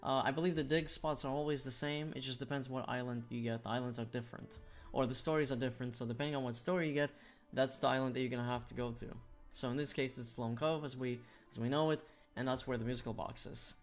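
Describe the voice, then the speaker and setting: A man's voice: medium pitch, steady tone, quick pace. Man giving game walkthrough over music. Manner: explanatory, step-by-step. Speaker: video game guide host. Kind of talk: walkthrough commentary, casual instructional.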